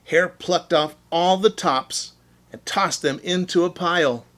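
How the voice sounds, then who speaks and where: A man's voice: high-pitched, measured pace, fairly bright tone. A man reading a children's story as a teacher, expressively, explaining things in simple words.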